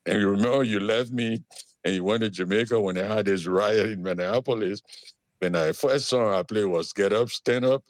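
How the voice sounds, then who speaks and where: A man from Liberia, an animated storyteller who laughs easily, speaking as an interview guest. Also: dry recording. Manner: animated storyteller with big swings in pitch, quick to laugh. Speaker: guest, immigrant services business owner. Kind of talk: conversational interview.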